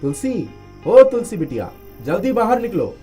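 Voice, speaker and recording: A respectful village headman in a cartoon moral tale, played by a man. His mellow voice swings widely in pitch, expressive and eager. Faint music sits underneath. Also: moderate pace. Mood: worried, puzzled. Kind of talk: Hindi animated moral story acting.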